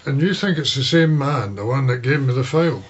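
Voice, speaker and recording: An actor playing a forgetful, pensive older Scottish lawyer with a hesitant, musing delivery in a radio drama. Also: male voice, medium pitch, expressive, a little fast, slightly rough. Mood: puzzled. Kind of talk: naturalistic radio drama acting. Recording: dull sound, faint background noise.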